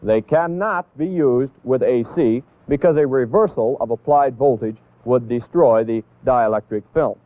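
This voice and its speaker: A man's voice: medium pitch, dry recording. Tinny 1960s American training film man. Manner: deliberate, didactic, emphatic on key points. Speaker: instructor narrating a military training film. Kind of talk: 1960s scripted instructional narration.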